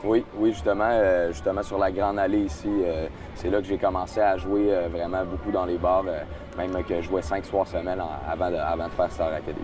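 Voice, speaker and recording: A man's voice: low-pitched, flat delivery, fairly quick, hardly any pauses, over music. A young man with a Quebec French accent, a singer giving an interview outdoors at a festival, hesitant and full of fillers and restarts. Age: young adult. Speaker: singer guest. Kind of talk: casual interview.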